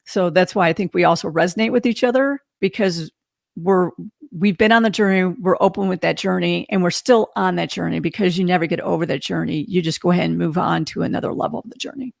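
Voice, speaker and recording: Casting: female podcast host, frank and emphatic in casual reflective talk, noticeable vocal fry, dry recording. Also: on the low side, fast talker, darkish tone, no echo.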